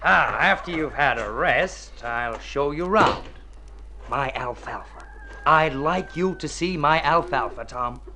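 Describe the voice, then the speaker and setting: An actor playing a hearty, reassuring country farmer and old pal in a radio play. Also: male voice, a little high, animated, takes it slowly, background noise. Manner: hearty, easygoing. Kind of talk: mid-century radio drama acting.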